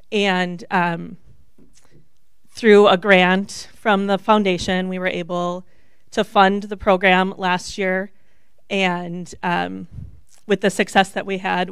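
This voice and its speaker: A woman presenting as a kindergarten teacher at a board meeting, measured and even. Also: on the low side, clean tone, dry recording, clean studio sound. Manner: even, measured, with ums and restarts. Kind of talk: prepared presentation.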